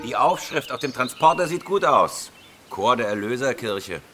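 An actor playing a cold, commanding professional robber with a curt, terse manner in a German radio crime drama. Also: male voice, medium pitch, expressive, moderate pace, close to an everyday voice. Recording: music behind the voice.

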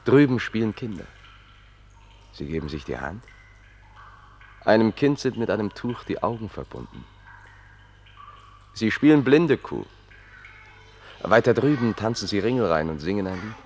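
A quiet guide in a radio play, really low voice, measured and respectful, describing things. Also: male voice, big pitch swings, husky, heavily acted. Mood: calm, observant. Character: attentive male guide, quietly describing the world to His Excellency. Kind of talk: German radio play acting.

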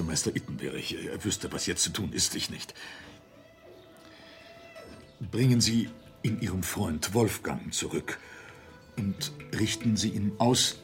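Radio play: weary, courteous older director, low register, animated intonation, formal and resigned. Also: male voice. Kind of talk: German radio play acting.

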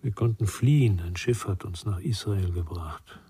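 An actor playing a reflective older man, once a war refugee, speaking in a measured, confiding way in a radio drama. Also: sits low, mellow, breathy edge, no room sound. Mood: reflective, gentle. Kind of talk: German radio crime drama acting.

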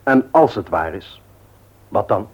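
A man's voice: fairly low pitch, expressive, slow pace, darkish tone, breathy edge, exaggerated voice. An actor playing a stern, condescending man who guards his reputation in a radio play, speaking formally. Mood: terse, challenging. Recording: dull old radio recording.

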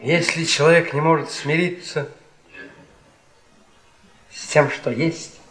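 An actor playing a bitter, mocking middle-aged husband and defeated intellectual in a theatrical radio play, sardonic and needling. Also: male voice, fairly high pitch, steady tone, slow pace, a bit bright, roomy sound. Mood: bitter, lecturing.

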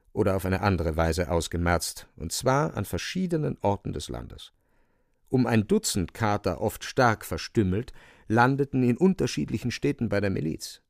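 A wry male storyteller narrates a radio play with theatrical emphasis. His low voice is gravelly and swings widely in pitch, recorded very dry and close. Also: darkish tone, no room sound.

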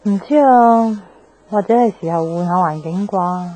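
Mellow-voiced restless young woman, played by an actress. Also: medium pitch, a little slow, close to an everyday voice, low-quality recording. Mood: casual, hesitant. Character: restless young woman friend craving a new life. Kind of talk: radio drama dialogue. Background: faint background music.

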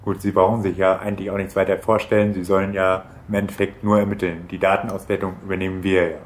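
A man's voice: low-pitched, level tone, quick pace, lightly acted. Coolly bureaucratic man, acted in a radio play. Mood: formal, businesslike. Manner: formal, matter-of-fact, official. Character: officious male government sociologist, coolly bureaucratic interviewer. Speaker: interviewer. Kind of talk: German radio play acting.

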